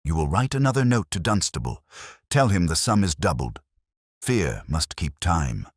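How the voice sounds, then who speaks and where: A man voicing a refined criminal mastermind in a mystery audiobook, measured, calm and ominous. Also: deep voice, breathy edge, no room sound, clean studio sound, exaggerated voice. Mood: calm menace.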